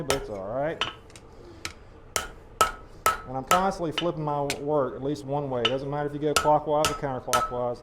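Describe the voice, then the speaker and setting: A man teaches forging in a hoarse voice that is half gone. His talk is folksy and patient as he works. Loud ringing hammer blows clang behind him. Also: fairly high pitch, very noisy. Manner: folksy, explains step by step while hammering. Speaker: blacksmithing demonstrator. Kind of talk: live teaching demonstration. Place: blacksmith forge demonstration.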